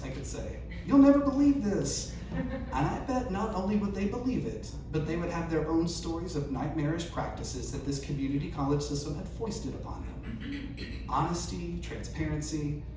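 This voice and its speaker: A man with a slightly high-pitched voice reads a comic personal story to a live audience. His delivery is performed, acting out the lines. The sound is very reverberant and picked up from a distance, with clear background noise. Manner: performed delivery, acting out dialogue and self-questions. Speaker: reader at a live storytelling event. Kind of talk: reading a humorous first-person story aloud to an audience. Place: live event venue. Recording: echoey, noisy room recorded from far off.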